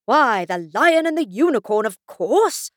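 A woman voicing an excitable, fussy king from a storybook. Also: mid-range voice, unhurried, no room sound, clean studio sound. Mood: gleeful.

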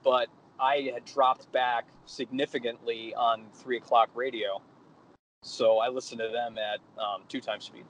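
Guy podcaster talking casual from his car on phone headset, medium pitch. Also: little pitch movement, unhurried, a bit bright, slight background noise, rough recording. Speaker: podcast co-host. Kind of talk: casual chat. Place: inside a car. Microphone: phone or plugged-in headset.

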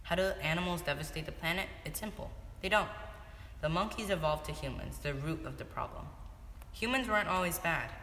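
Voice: mid-range voice, a little fast, pushed and bright. A young teenager reading a scripted story aloud as a student narrator. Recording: echoey hall, noisy background.

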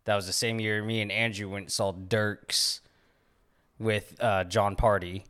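A young man chatting easygoing on a podcast, his low voice bright and forward. He jokes around, says "dude" often, and his throat sounds a little raspy. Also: flat delivery, a little slow. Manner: laid-back, laughs often, says "dude". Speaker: podcast co-host. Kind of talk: casual chat.

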